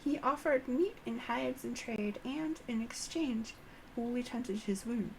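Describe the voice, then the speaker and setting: A woman voicing a courteous insectoid elder storyteller from a game, with formal, archaic, drawn-out phrasing. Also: on the high side, gravelly, a little hiss or hum, low-quality recording. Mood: solemn, measured. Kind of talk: game dialogue read aloud.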